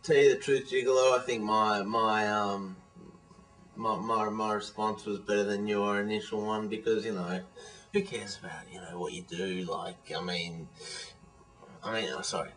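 A man's voice: fairly low pitch, steady tone, normal speed, a bit bright, distant mic, low-quality recording. A man in his twenties with an Australian accent, talking frankly in a casual webcam video reply from his living room, rambling with many fillers and restarts. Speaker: vlogger. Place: living room at home, indoors. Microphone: webcam at desk distance.

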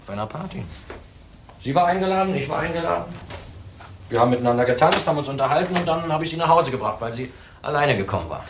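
An actor playing a cold-blooded ex-convict widower in a crime drama, defiant, blunt and self-assured. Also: male voice, on the high side, animated, fast. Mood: guarded. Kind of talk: German TV crime drama acting. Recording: faint background noise.

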